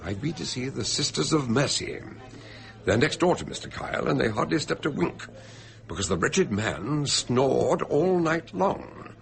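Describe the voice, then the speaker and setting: An actor playing a polite, sensible doctor who tells a shipboard mystery in a measured, hesitant way, radio drama style. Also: male voice, mid-range voice, sing-song, creaky. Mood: reasoning, exasperated. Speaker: narrator and character in a radio mystery. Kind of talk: radio drama storytelling and dialogue.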